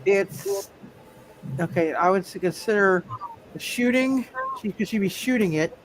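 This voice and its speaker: A man narrating a tabletop roleplaying game as game master, speaking haltingly and often repeating his words. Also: mid-range voice, lively intonation, a little hiss or hum, rough recording.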